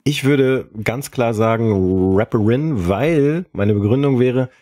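A man's voice: medium pitch, takes it slowly, mellow. A man bantering casually as a panelist on a podcast.

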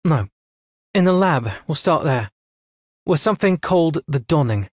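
A man's voice: on the high side, expressive, normal speed, dry recording, clean studio sound, pitched above the performer's own voice. A man voicing a composed, commanding female admiral in an audiobook, speaking in a clipped, authoritative way.

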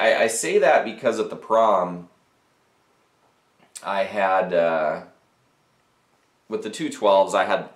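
Low-voiced guy in his 30s chatting about pa gear, lots of "you know" and restarts, echoey little office. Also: gravelly. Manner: conversational, technical, frequent fillers and restarts. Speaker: audio gear reviewer. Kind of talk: casual gear review to camera. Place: small cluttered office with speakers and mixers. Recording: roomy small-office echo.

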